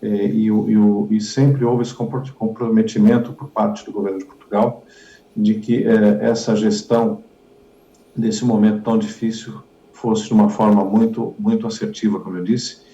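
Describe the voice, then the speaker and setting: Male politician, low gravelly voice, halting and repetitive answers, dull echoey recording. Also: dark tone. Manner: halting, repetitive, many fillers. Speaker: politician interviewee. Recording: dull echoey room audio.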